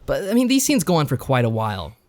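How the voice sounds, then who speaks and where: A man's voice: medium pitch, huge pitch swings, fast, darkish tone. A man co-hosting a podcast in casual chat, giving a rambling, joking recap. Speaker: podcast co-host. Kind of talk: casual chat reviewing visual novels.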